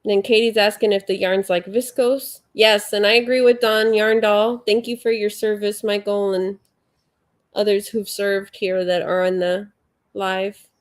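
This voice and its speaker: A woman's voice: medium pitch, flat delivery, a little slow. A congested woman in her forties hosting a casual livestream at home, rambling and chatty as she reads viewer comments. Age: 40s. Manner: chatty, rambling, with frequent fillers. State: congested, sniffling. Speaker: livestream host. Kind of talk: casual live chat, reading viewer comments.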